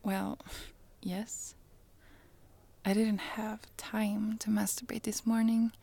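A woman's voice: mid-range voice, level tone, slow, vocal fry. Woman doing Ruby, a whispering Swedish traveler. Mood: puzzled. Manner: soft whispering, candid, giggly. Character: friendly chatty young Swedish woman traveler. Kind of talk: whispered ASMR roleplay.

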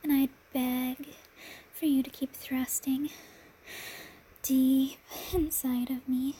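Slow, high-pitched woman whispering intimately. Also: little pitch movement, a little hiss or hum, low-quality recording. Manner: breathy whispering mixed with soft murmured speech; sultry, drawn-out, intimate delivery. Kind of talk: erotic audio roleplay, intimate monologue addressed to listener.

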